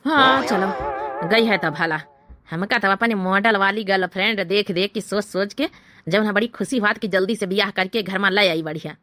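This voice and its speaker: A woman's voice: mid-range voice, moderate pace, bright, forceful tone, no echo, soft background music, close to an everyday voice. A woman voicing a sneaky, short-tempered village husband in a comedy cartoon, with a brusque, rustic Awadhi accent. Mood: smug.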